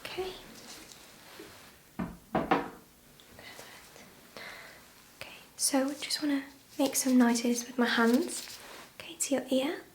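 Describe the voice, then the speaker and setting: A woman speaking in a soft, breathy whisper, gently reassuring and instructing the listener as a nurse in an ASMR medical exam roleplay. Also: high-pitched, slow pace, long pauses. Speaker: ASMR creator playing a nurse.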